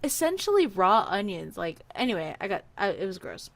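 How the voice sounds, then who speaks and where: A woman telling a personal story in a casual, animated, chatty way, acting out bits of dialogue as she goes. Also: mid-range voice, a little fast, gravelly.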